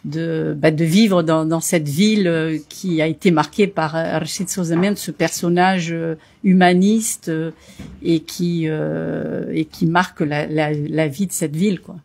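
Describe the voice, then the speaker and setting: A woman's voice: low-pitched, steady tone, measured pace, creaky. A woman from Portugal whose first language is Portuguese, a guest telling her story in a casual interview with frequent hesitations. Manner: hesitant, many fillers, warm storytelling. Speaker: association member, guest.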